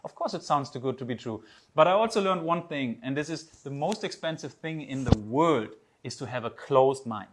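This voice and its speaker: A man's voice: medium pitch, animated, moderate pace, noisy background. A man from Germany with a German accent, co-hosting a promotional webinar in an enthusiastic, persuasive way. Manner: enthusiastic, persuasive, non-native phrasing. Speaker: webinar co-host.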